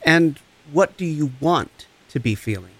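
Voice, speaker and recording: A man's voice: fairly high pitch, expressive, measured pace, fairly bright tone, exaggerated voice. A man acting the part of a compassionate recovery coach in an audio drama, tender, soothing and earnest. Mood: encouraging, instructive. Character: compassionate, gentle, encouraging recovery coach and friend.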